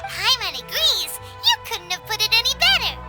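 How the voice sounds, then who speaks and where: A very high, squeaky little fairy girl, swooping all over the scale as she chirps about herself in third person. Bright game music plays loudly beneath her. It is a video game cutscene. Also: big pitch swings, normal speed. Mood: delighted. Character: tiny childlike female fairy companion, excitable. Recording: game soundtrack music behind.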